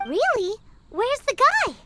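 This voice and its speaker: A woman voicing a tiny, bubbly fairy companion in a video game, chirpy and excitable. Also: takes it slowly, over music, full character voice. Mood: eager. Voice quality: very high, chirpy. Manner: excitable, sing-song. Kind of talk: game voice acting.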